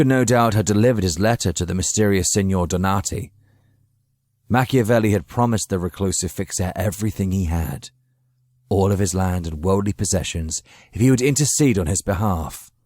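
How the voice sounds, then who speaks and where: A man's voice: low-pitched, moderate pace, darkish tone, no echo, clean studio sound. A man narrating a dramatic story from a script as an audiobook reader.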